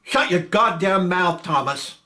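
A man's voice: high-pitched, lively intonation, unhurried, sharp tone, pitched above the performer's own voice, exaggerated voice. A man playing a tough protective airman. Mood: defiant.

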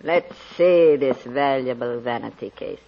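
A man's voice: medium pitch, unhurried, vocal fry. A performer voicing a crabby, suspicious old woman pawnbroker, querulous and scornful, in old-time radio drama style. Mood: suspicious, grumbling. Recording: dull old radio recording.

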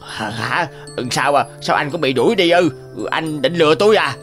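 Người đàn ông lồng tiếng chồn tanuki ranh mãnh, tham ăn. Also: male voice, on the high side, animated, normal speed, fairly bright tone, soft background music. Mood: wary. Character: sly greedy thieving tanuki, gluttonous and wheedling. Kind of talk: animated cartoon dialogue.

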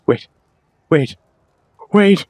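A man playing a cowardly, entitled duke in an audio drama, with a husky voice and a stammering, pompous, pleading manner. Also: very slow, a little mellow, no room sound. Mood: panicked pleading. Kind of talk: scripted villain roleplay audio drama.

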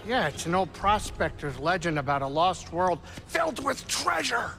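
A man playing an excitable, grumbling pilot in a video game cutscene, talking in an exasperated, wary manner. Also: sits high, moderate pace, creaky, full character voice. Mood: excited. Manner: exasperated, animated. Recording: music behind the voice.

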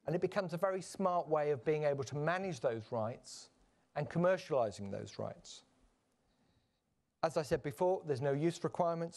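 A man giving a measured, explanatory conference presentation, with frequent fillers and restarts. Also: fairly high pitch, darkish tone. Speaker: conference panel speaker. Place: conference stage.

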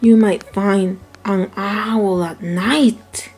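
A woman's voice: mid-range voice, fairly even intonation, drawn-out words, a little mellow, faint music behind. A woman voicing a patient, protective mother bird in a storybook, firm and motherly as she instructs. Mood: cautioning. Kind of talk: dramatized picture-book storytelling.

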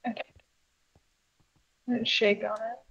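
A woman's voice: fairly high pitch, flat delivery, unhurried, a bit bright, highs cut off. A woman voicing a weary, stubborn female puppet in improvised game roleplay, speaking in a dry, terse, unimpressed way. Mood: dry, needling.

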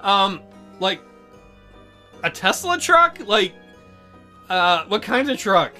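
A woman's voice: mid-range voice, big pitch swings, takes it slowly, pushed and bright, faint music behind. A woman hosting a let's play, giving casual game commentary full of joking, sarcastic asides.

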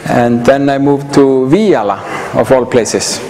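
A middle-aged man from Iceland with an Icelandic accent, giving an informal presentation in a hesitant, halting way full of pauses and filler sounds. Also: mid-range voice, mellow, soft background music. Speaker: conference speaker. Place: indoor hall, standing by a projector screen. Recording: echoey hall from afar, background hiss.

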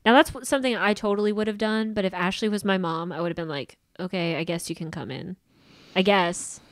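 A woman's voice: mid-range voice, fairly quick, dry recording. Blunt, sweary woman podcaster, dry studio sound. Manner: blunt, sweary, quotes people in mimicked dialogue. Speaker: podcast co-host. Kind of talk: casual opinionated recap chat. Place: small indoor podcast studio. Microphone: podcast microphone on boom arm, close.

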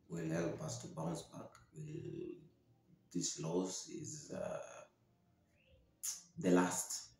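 A young man giving solo football commentary as a podcast host, halting and downcast, speaking into a desk microphone in a small room. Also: sits low, mellow. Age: young adult. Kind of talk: solo post-match commentary to camera. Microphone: desktop podcast microphone, close. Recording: very echoey room.

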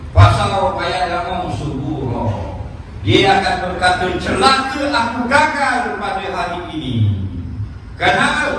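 An older man giving a humorous mosque lecture, teasing his listeners and chanting Arabic verses. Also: high voice. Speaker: religious teacher. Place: mosque lecture room, indoors. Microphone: stand mic on desk, recorded by a far camera. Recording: echoey hall from a far camera, noisy.

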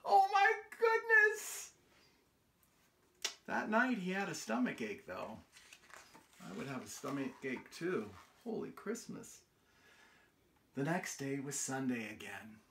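A middle-aged American man reads a picture book aloud for young children. His delivery is slow and animated, rising and falling widely with sing-song emphasis. He addresses his young listeners directly as a storytime host. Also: fairly high pitch, gravelly, slight background noise. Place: small room indoors on a couch.

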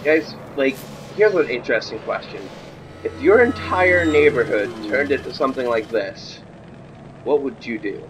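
A young man with a slightly croaky voice, chatting casually to viewers while playing a game, jumpy and easily startled. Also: medium pitch, expressive, moderate pace, noisy background. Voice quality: a little croaky. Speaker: gamer. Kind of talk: casual gameplay commentary.

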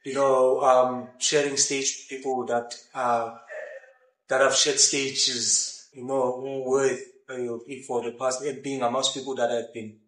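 A man's voice: mid-range voice, level tone, fairly bright tone. A South African rapper in his late thirties talks outdoors beside a brick building, and the recording has a roomy echo. He starts his sentences over again and again and mixes Xhosa phrases into his English. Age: 30s. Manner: halting, many restarts, mixing in Xhosa phrases. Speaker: rapper guest. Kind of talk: casual interview.

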